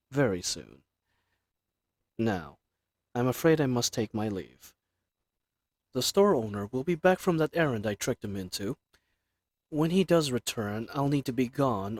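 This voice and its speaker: Guy acting as a polite hired killer with a crush, mid-pitched male voice, measured and formal, very dry sound, romance audio roleplay. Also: no room sound. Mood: calm, parting. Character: lovestruck male assassin, reserved, formal, earnestly flirtatious. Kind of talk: scripted romantic audio roleplay addressed to the listener.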